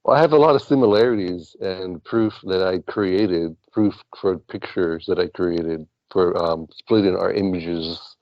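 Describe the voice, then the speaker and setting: A man's voice: low-pitched, unhurried, few pauses, no echo, muffled recording. A man talking casually and earnestly as a talk show guest, rambling as he goes. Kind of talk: casual rambling talk about past lives and spirituality.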